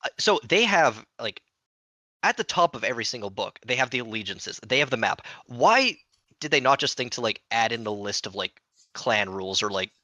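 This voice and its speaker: A podcast panelist arguing points in casual chat, in a halting, rambling way. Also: medium pitch, very animated, sharp tone, dry recording.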